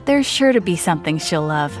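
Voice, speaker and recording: A woman's voice: lowish voice, big pitch swings, a little fast, music in the background. A woman playing a cheerful, eager shopkeeper in a video game, gushing and polite. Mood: friendly, selling. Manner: bright sales patter, gushing, polite. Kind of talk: English video game voice acting.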